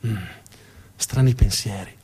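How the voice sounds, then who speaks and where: A man delivering a dramatic narrative theatre monologue with emphatic, deliberate phrasing. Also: fairly low pitch, dark tone, slightly rough. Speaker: stage storyteller, actor.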